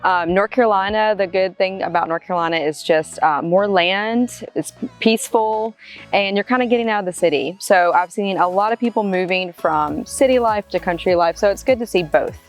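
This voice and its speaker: A woman's voice: medium pitch, moderate pace, soft background music. Southern woman realtor, chatty and casual. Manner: casual, friendly, many "um"s. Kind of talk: answering interview questions to camera.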